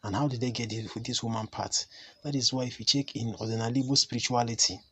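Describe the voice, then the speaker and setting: A man, slightly hoarse from a cold, giving an earnest spiritual teaching as a chief priest. He often stops to ask the listener a rhetorical "hmm?". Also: mid-range voice, steady tone, fast talker, fairly bright tone, slight background noise. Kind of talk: talking-head teaching to camera.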